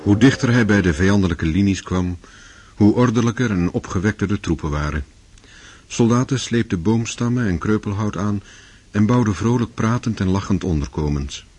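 Low, husky male drama narrator. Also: normal speed, darkish tone, no echo. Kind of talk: scripted literary narration for radio drama.

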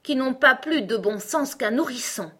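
A woman's voice: sits high, lively intonation, normal speed, bright, forceful tone, husky, pitched above the performer's own voice, full character voice. A woman voicing a pompous, irritable male talking egg in a storybook, with a haughty, emphatic delivery. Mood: irritated, disdainful. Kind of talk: storybook character acting.

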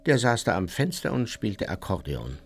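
An actor playing an old, unrepentant war criminal who reminisces boastfully and matter-of-factly in a radio play. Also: male voice, lowish voice, sing-song, normal speed, slight background noise. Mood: callous, matter-of-fact. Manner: chatty, boastful, matter-of-fact storytelling.

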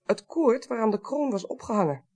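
Assertive, sharp-witted police investigator, lively intonation. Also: female voice, on the high side, brisk. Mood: methodical, firm. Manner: assertive, precise, cuts others short. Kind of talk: Dutch radio drama acting.

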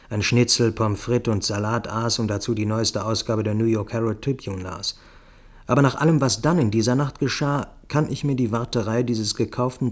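A man with a fairly low voice narrates a radio drama in the first person. His reading is scripted and literary.

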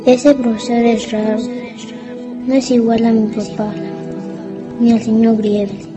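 A woman's voice: fairly high pitch, flat delivery, takes it slowly, over music. A woman playing a timid, frightened little schoolboy with a plaintive, childlike way of talking in a radio drama. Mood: puzzled. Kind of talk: radio drama inner monologue.

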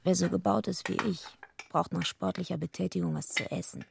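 An actress playing a tough female wrestler and night watchwoman who narrates bluntly and deadpan in a radio play. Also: on the low side, brisk, vocal fry, background noise. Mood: weary, matter-of-fact.

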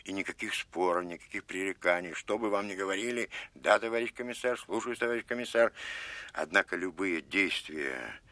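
A man's voice: sits low, bright, forceful tone, no room sound, exaggerated voice. A man acting a stern, commanding Soviet colonel general in a Russian audio drama, giving clipped, emphatic orders with authority. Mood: stern, instructing.

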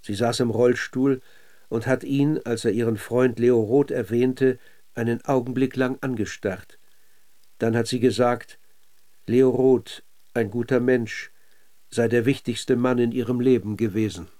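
A man narrating a literary radio documentary in a measured, sober manner. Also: mid-range voice, steady tone, mellow, dry recording.

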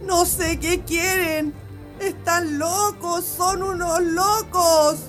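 A woman's voice: very high-pitched, steady tone, very slow, fairly bright tone, soft background music, exaggerated voice. A young woman with a Chilean accent playing a defiant, frightened student prisoner in a radio drama. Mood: pained, defiant.

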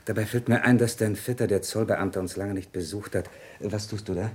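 An actor playing a stern, upright shopkeeper and husband in a radio play, measured, gruff and authoritative. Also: male voice, on the low side, fairly even intonation. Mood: weary, measured. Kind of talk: German radio play acting.

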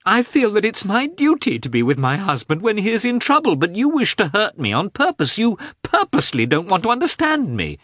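A man's voice: mid-range voice, big pitch swings, moderate pace, fairly bright tone, no echo, studio quality, voice pushed up. A man voicing a headstrong, wounded young wife in dramatised audiobook dialogue, emphatic and pleading. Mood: tearful resentment.

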